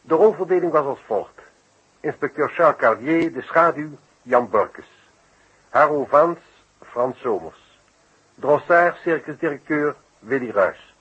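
A man's voice: a little high, expressive, measured pace, slightly rough. A man reading cast credits as a radio announcer, in a formal, scripted 1960s style. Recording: muffled, noisy old radio recording.

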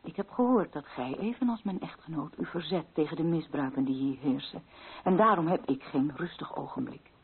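An actress playing a grieving, fearful widow of a colonial official in a radio play, speaking formally with a husky voice. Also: female voice, deep voice, fairly quick, a little hiss or hum. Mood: anxious, confiding. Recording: thin, phone-like old radio recording.

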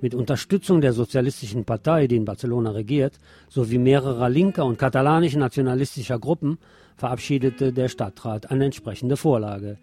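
A man's voice: mid-range voice, mellow. A man presenting scripted news and commentary on the radio, reading as a presenter.

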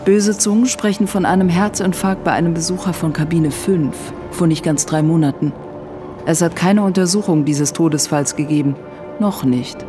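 An actress playing a powerful, calculating woman who speaks formally and smoothly in a radio drama. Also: on the low side, normal speed, lightly acted. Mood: coolly menacing. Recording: music behind the voice. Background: music.

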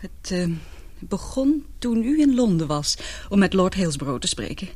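Casting: unhappy young heroine for radio drama, mid-range voice, emotional, hesitant, confiding. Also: female voice, mid-range voice, big pitch swings, a little mellow. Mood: worried, confiding. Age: young adult. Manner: halting, earnest, emotional. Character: anguished young woman in love, confiding in her father.

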